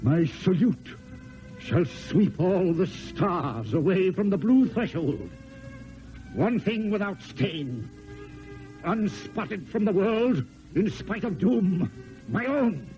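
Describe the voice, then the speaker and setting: A proud, doomed romantic swordsman declaims verse in a grand theatrical manner for an old radio play. The voice is high and mellow, drawn out extremely slowly, over music on a muffled vintage broadcast. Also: sing-song, heavily acted. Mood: defiant, exalted. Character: proud, doomed romantic swordsman-poet, defiant in his dying moments.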